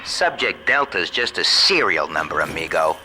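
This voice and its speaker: A man's voice: rumbling low, big pitch swings, moderate pace. An actor playing a slick, shifty, smug radio newsman in a video game, with glib, theatrical buddy-buddy patter. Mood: glib, taunting. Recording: noisy transmission with background ambience.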